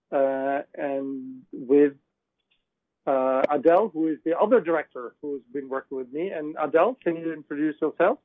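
A man from Norway, a filmmaker, speaking in a measured, hesitant way with many "uh"s. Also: on the high side, no echo. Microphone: phone. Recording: tinny dry phone line.

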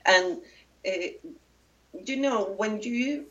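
A woman from Venezuela, a documentary filmmaker being interviewed, speaking haltingly with many restarts and fillers. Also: a little high, distant mic, highs cut off.

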